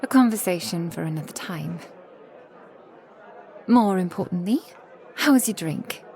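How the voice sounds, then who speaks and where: A woman voicing an affectionate, protective ancient vampire woman in a soft, intimate ASMR roleplay, with genteel old-fashioned phrasing. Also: fairly low pitch, animated, unhurried, some chatter behind. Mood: playful, inviting.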